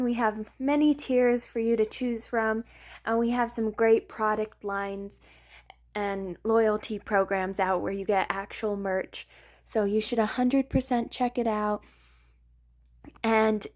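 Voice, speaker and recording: Slow-paced, high-voiced woman host. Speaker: host of an audio roleplay channel. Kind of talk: casual channel intro, ASMR.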